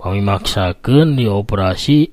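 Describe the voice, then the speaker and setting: Storybook hospital doctor, played by a male narrator: Sundanese, deep, courteous, grave, explains formally with small hesitations. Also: lively intonation, unhurried, darkish tone, no room sound. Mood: matter-of-fact, polite.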